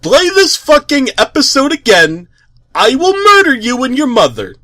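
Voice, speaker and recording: A man voicing an unhinged male letter writer ranting high-pitched threats. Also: huge pitch swings, moderate pace, bright, forceful tone, no room sound, clean studio sound, exaggerated voice. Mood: menacing.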